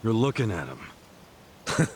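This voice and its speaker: An actor playing a brash, stubborn ex-con gangster with a gruff, curt manner. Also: male voice, lowish voice, big pitch swings, takes it slowly, slight background noise. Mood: grim. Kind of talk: dubbed game cutscene acting.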